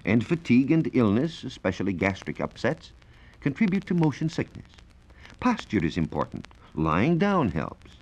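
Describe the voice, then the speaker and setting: An American man working as a science reporter gives a formal, measured, scripted explanation in the style of 1940s educational radio. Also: medium pitch, big pitch swings, a little mellow. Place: radio studio. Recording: dull, lo-fi 1940s radio recording.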